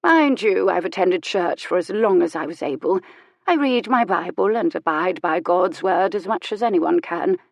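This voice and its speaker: A woman voicing a sharp-tongued, dying elderly noblewoman with a wry, imperious manner. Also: on the low side, lively intonation, moderate pace, creaky, no echo. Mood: confiding. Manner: tart, teasing, grandly authoritative.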